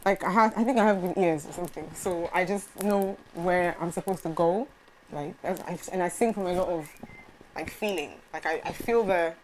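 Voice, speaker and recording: A young woman, a gospel singer, answering interview questions in a soft, hesitant way with many fillers. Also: fairly low pitch, normal speed, slight background noise, poor audio.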